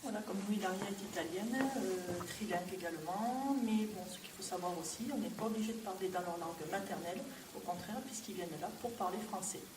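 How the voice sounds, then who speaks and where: A woman's voice: medium pitch, a little fast, hardly any pauses. Explanatory host mother, echoey and distant. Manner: matter-of-fact, explanatory. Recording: distant mic, echoey room, noise.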